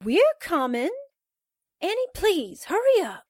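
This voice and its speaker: A woman's voice: sits high, sing-song, measured pace, darkish tone, no room sound, clean studio sound. A woman playing a jittery, impatient young sidekick in a 1940s-style radio drama, full of folksy exasperation. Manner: folksy exclamations, exasperated nagging.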